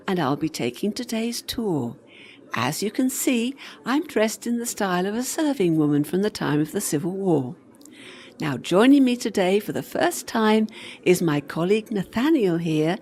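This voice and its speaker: A woman's voice: mid-range voice, big pitch swings, normal speed, a little mellow, natural voice. An actress playing the ghost of a serving girl in a radio play, practical and teasing, with a dry, matter-of-fact manner. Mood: bright, organising.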